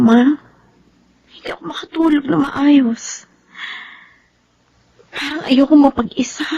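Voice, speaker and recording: A woman with a Filipino accent playing an anxious, fragile young soldier's wife in a radio drama, breathy, husky and pleading. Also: on the high side, dark tone, no echo, lo-fi sound, exaggerated voice. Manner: emotional, pleading.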